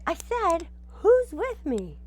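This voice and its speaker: A woman's voice: very high-pitched, huge pitch swings, slow pace, pitched above the performer's own voice, exaggerated voice. A woman voicing a clever, bold goat who takes charge, in an emphatic, rallying storybook delivery.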